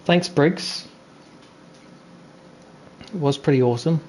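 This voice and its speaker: A man's voice: fairly high pitch, slow, a little mellow, slight background noise. Laid-back New man chatting. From New Zealand. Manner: chatty, laid-back, teasing other drivers. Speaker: streamer racing online. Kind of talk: casual live-stream commentary and chat. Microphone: gaming headset.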